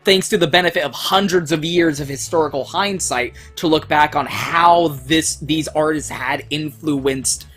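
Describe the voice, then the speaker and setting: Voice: medium pitch, moderate pace, hardly any pauses, a bit bright, soft background music. A co-host of a gaming video, chatting casually, swearing often and ranting with heat about art and culture. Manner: swears a lot, rants with heat. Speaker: let's play co-host. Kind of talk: casual chat while gaming.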